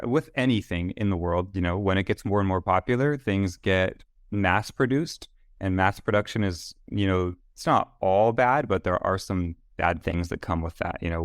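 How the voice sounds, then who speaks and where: A male podcast guest, a native Spanish speaker, talking casually with animated restarts. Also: sits low, clear, resonant tone, no room sound, clean studio sound. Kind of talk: casual interview chat.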